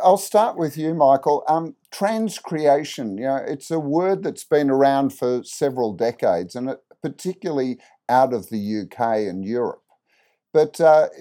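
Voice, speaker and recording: A man from Australia hosting a podcast, with a dark, mellow voice at a middle pitch. He chats easily, putting questions to his guests and ending them with tags that invite a reply. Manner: conversational, leading questions with tag questions. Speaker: podcast host.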